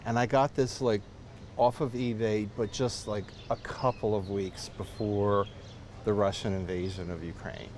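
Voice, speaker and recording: A middle-aged man with a low voice talks thoughtfully about the things he loves, earnest and a little halting. Faint noise sits behind him. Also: steady tone, a little mellow. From United States. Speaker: typewriter collector. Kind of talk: interview answers.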